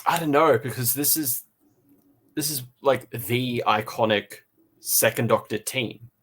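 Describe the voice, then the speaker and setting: A man with a medium-pitched voice chats casually as a podcast co-host giving a review. He thinks aloud in halting phrases, often repeating his words before landing on the point. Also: a bit bright, slightly rough.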